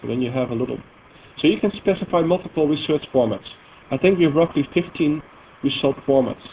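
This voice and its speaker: A man's voice: on the high side, animated. Dutch man on tinny lo-fi audio. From Netherlands. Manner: halting, filler-heavy, self-correcting explanation. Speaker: software presenter demonstrating database queries. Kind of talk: live technical demo talk. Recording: tinny phone-like audio with faint noise.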